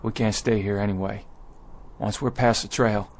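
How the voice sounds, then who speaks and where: A man's voice: on the low side, fairly even intonation, moderate pace, natural voice. An actor playing a desperate pioneer wagon leader who pleads with his people in an urgent, stammering way. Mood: determined.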